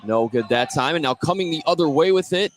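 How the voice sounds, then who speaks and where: A man's voice: a little high, expressive, a little fast. An American man doing live play-by-play basketball commentary on the radio. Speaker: play-by-play announcer. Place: indoor high school gymnasium. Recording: faint gym noise behind. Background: faint gym ambience.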